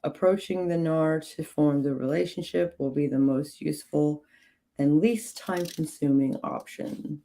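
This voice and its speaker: Slow, low-voiced woman, slightly hoarse. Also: fairly even intonation, warm tone. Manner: slow, measured reading with clipped, dry asides to chat. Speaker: livestream host reading from a witchcraft book. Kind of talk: reading aloud with chat asides.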